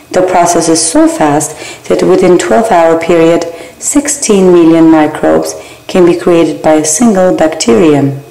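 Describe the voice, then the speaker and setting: Gravelly low-voiced woman reading slides. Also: reverberant, low-quality recording. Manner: steady, measured slide reading with small grammar slips. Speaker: barbering theory instructor. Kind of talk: reading exam slides aloud.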